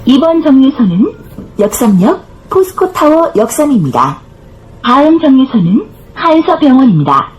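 A woman's voice: high-pitched, big pitch swings, moderate pace, long pauses, darkish tone, a little hiss or hum, poor audio. A woman reading scripted bus stop announcements in a formal, carefully enunciated way, recorded inside a bus. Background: bus interior ambience.

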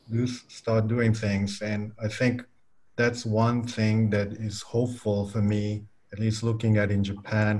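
Low, flat-toned man, hesitant and measured. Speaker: architect, panelist. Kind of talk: reflective panel talk. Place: small room indoors. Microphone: video call, close to laptop.

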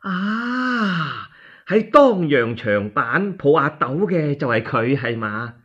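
Give the part of an elderly Cantonese dowager in a radio tale, acted out by a man. The voice is husky and swings widely in pitch, doting one moment and imperious the next. The recording is dull and lo-fi. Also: very animated, a bit bright, full character voice. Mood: impressed. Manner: exclaiming, drawn-out, imperious. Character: doting elderly noble dowager, quick to flare up.

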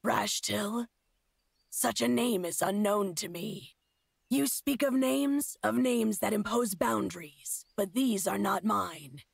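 Husky, creaky feral huntress. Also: female voice, medium pitch, no echo, exaggerated voice. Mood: solemn, cryptic. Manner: slow, cryptic, solemn speech. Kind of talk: fantasy game character acting.

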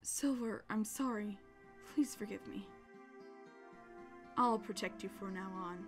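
A woman's voice: medium pitch, unhurried, lightly acted. A woman voicing a dramatic, short-tempered female cartoon cat princess in an amateur comic dub, over music. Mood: sorry. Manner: dramatic, swinging from sweet to snappy. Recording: background music under the voice.

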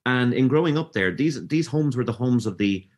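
A man's voice: mid-range voice, lively intonation, normal speed. A middle-aged man with a Dublin Irish accent, telling his story as a podcast guest. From Ireland. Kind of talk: casual interview talk.

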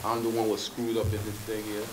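A man's voice: on the low side, monotone, fast, a crowd in the background, low-quality recording. A man with a Jamaican accent co-hosting a casual radio talk show, rambling and checking if you understand. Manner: laid-back, rambling, keeps asking if you understand. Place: small radio studio. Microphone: studio boom mic.

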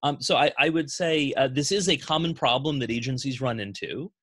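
A man hosting a business Q&A on a video call, speaking in a measured way with frequent fillers. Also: medium pitch, fairly bright tone, breathy edge, no echo, clean studio sound. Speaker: host of agency Q&A.

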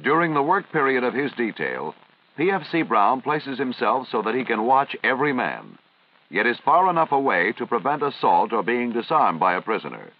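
An American man reading formal, measured, instructional narration in the style of a 1950s military training film. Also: medium pitch, sing-song. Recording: dull, band-limited vintage film soundtrack.

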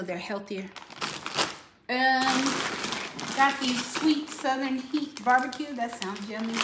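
A woman in her thirties chatting casually to viewers in a grocery haul vlog, filmed in her home kitchen. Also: on the high side. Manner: chatty, addresses viewers directly. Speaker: vlogger. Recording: echoey kitchen with faint noise.

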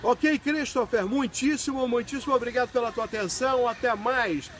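A man's voice: extremely high pitch, normal speed. A man, operating amateur radio in a courteous way and calling out spelled callsigns. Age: 60s. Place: outdoors in a park by a pool area. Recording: noisy outdoor recording with radio hiss.

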